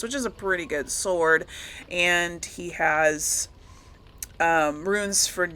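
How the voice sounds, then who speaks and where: A woman's voice: low voice, gravelly, sharp tone, slight background noise. A woman giving casual, rambling commentary as she plays a game, often correcting herself and lightly amused. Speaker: gaming host.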